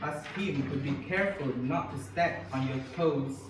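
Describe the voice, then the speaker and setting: A man's voice: on the high side, normal speed, lightly acted. Man playing blunt assistant, very echoey room. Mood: matter-of-fact. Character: practical, blunt fairy godmother's assistant. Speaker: student reader in readers' theatre. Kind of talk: scripted readers' theatre acting. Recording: very echoey, distant mic, faint noise.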